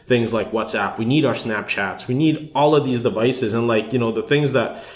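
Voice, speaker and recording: A man's voice: medium pitch, moderate pace. A man, in casual podcast chat, with loose, filler-filled phrasing. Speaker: podcast participant. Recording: roomy, echoing sound.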